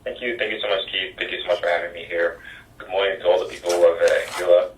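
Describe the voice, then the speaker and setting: A man from Haiti speaks as a guest over a phone line, his voice very low. He pauses often and fills the gaps with ums. The sound is roomy and distant. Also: level tone, slight background noise. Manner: halting, frequent ums. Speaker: guest, think tank director. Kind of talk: phone-in interview.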